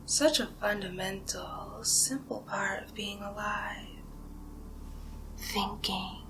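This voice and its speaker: A woman playing a condescending, seductive vampire in an ASMR hypnosis role play, whispering breathily with slow, hypnotic pauses. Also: mid-range voice, flat delivery, noisy background. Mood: hypnotic, soothing menace. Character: condescending seductive female vampire feeding on human prey.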